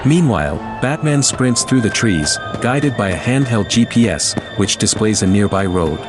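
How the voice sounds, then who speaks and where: A man reads scripted narration for an audio drama. His voice is on the low side, with music clearly playing behind him. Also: expressive, moderate pace.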